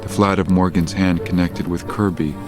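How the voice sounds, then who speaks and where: Male narrator for audio drama, very low-pitched voice, scripted storytelling over prominent music. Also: steady tone, normal speed.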